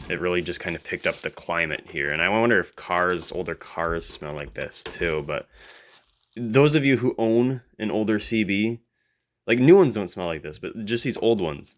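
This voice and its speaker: A man's voice: low voice, a bit bright. A man giving casual, off-the-cuff hands-on commentary as a hobbyist video host, musing and thinking aloud as he goes.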